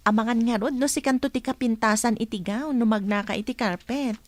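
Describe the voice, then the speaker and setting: A woman's voice: on the high side, fairly quick, no echo, lightly acted. A woman with an Ilocano accent playing a sociable young friend in a radio drama. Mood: teasing.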